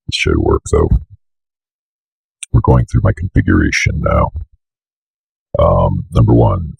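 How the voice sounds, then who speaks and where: A young man with an extremely low, husky voice crackling with fry walks through software steps. He has a slight cold and talks casually, muttering asides as he goes. The recording is close and dry, at a desk. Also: no room sound. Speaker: software tutorial presenter. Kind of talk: casual screen-recorded walkthrough. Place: indoor room at a computer desk.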